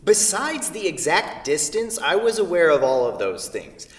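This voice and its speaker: A man's voice: on the high side, big pitch swings, moderate pace. A man reading the part of an awkward Midwestern writer in a staged play, hesitant and dryly defensive. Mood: hesitant, wry. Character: dry, awkward Midwestern freelance writer named Kent. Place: theatre, recorded from a distance. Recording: distant mic in echoey hall.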